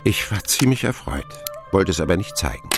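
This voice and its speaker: A man playing a grown narrator who recalls his boyhood in a radio play, telling it in a measured, intimate way. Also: sits low, lively intonation, music in the background. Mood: reflective. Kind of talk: scripted first-person radio narration.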